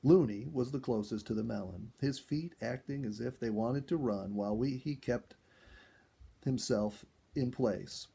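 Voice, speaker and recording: A man reading a first-person animal story aloud in an expressive, dramatic storytelling style. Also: mid-range voice, unhurried, gravelly, husky. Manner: expressive, acts out dialogue and exclamations.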